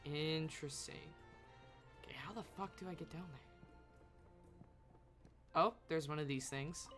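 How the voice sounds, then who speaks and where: Casual gameplay commentator: mid-pitched, slow, bright, playful, sweary self-talk. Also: soft background music. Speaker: game streamer.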